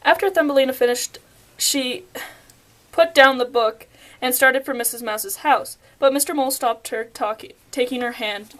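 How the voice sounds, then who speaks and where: A woman's voice: sits high, normal speed, fairly bright tone. A young woman narrating a scripted fairy tale as storyteller, stumbling over words now and then as she reads.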